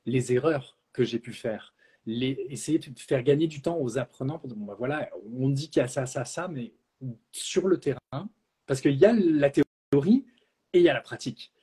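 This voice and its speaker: A man's voice: mid-range voice, moderate pace, warm tone, husky. A man speaking as a naturopath and trainer in a live question-and-answer talk, outspoken and blunt, with frequent hesitations. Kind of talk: live Q&A discussion.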